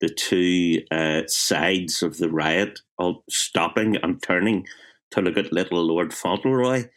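A man's voice: sits low, slow pace, a bit bright. A man in his fifties with a Belfast accent, a writer telling wry, self-mocking stories from his childhood in a casual interview, often restarting his sentences. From Northern Ireland. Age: 50s.

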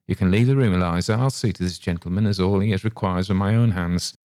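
A man's voice: low-pitched, quick pace, mellow, no room sound, clean studio sound, natural voice. A man voicing a pompous, long-winded male innkeeper who talks in tangled, ungrammatical phrases. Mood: indignant. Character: pompous garrulous male innkeeper, middle-aged.

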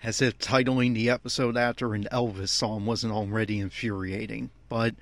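A man giving opinionated, complaining episode commentary as a host, with emphatic, halting phrasing. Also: fairly low pitch, level tone, no echo. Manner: exasperated, complaining. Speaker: fan podcast host.

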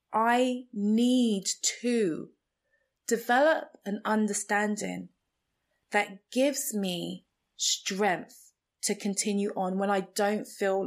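A woman from Nigeria whose first language is Igbo, hosting a podcast and reflecting slowly and earnestly on her beliefs. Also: medium pitch. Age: adult, a mother. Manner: slow, thoughtful, earnest delivery. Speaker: podcast host. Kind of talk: reflective personal monologue.

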